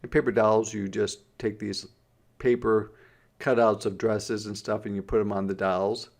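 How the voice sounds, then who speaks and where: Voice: medium pitch, little pitch movement, normal speed, a little mellow. A teacher reading a children's story aloud, explaining simply and putting questions to the listener.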